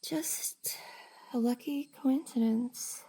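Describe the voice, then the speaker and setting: A woman playing a shy, flustered young cosplayer in an intimate roleplay ASMR drama, hesitant and breathy, often sighing and dropping to a whisper. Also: fairly high pitch, slow, mellow, full character voice, poor audio. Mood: affectionate, playful.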